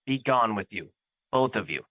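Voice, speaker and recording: A man's voice: lowish voice, animated, normal speed, bright, forceful tone, dry recording, clean studio sound. A man playing Fear as a personified emotion in an audio drama, troubled, defensive and duty-bound, with earnest, formal phrasing. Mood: stern.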